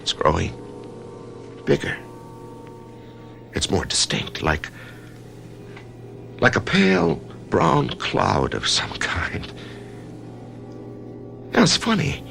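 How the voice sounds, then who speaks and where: A shaken writer in a radio drama, speaking in a very low, gravelly murmur. He talks slowly and stumbles over his words, and his pitch still rises and falls a lot. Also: wildly swooping pitch, faint music behind, exaggerated voice. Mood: dazed, halting. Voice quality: gravelly, hushed. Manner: halting, stammering when shaken. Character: dazed male writer waking from surgery. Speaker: narrator and lead character.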